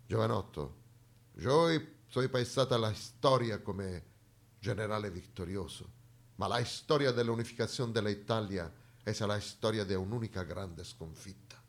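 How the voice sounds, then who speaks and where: A man's voice: fairly low pitch, unhurried, creaky. Grumbling, grandiose old general, played by a male stage actor, thick Genoese accent with a Spanish tinge, theatre monologue. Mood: bitter, grandiose. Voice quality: thick foreign-tinged Genoese-style speech.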